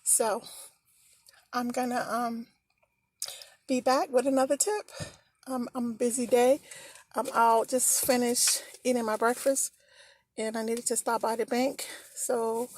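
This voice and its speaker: A woman vlogging motivational tips in an encouraging, slow and measured way, filmed on a phone while out on errands. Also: a little high, steady tone. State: slightly hoarse. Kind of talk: encouraging casual vlog talk.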